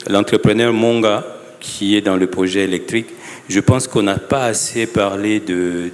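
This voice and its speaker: A man giving formal remarks as an executive on a conference panel. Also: low voice. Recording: reverberant hall with faint noise.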